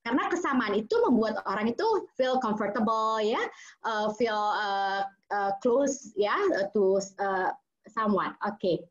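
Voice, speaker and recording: A woman's voice: medium pitch, a little slow. A woman with an Indonesian accent, a child psychologist giving an interactive parenting webinar, switching between English and Indonesian with many fillers. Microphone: video call. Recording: muffled video call audio.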